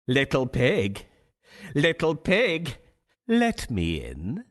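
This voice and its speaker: A man's voice: mid-range voice, huge pitch swings, a bit bright, exaggerated voice. A man voicing a greedy, menacing big bad wolf in a children's storybook game, growling and drawing out his words. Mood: hungry, menacing. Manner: growling, slow and drawn-out.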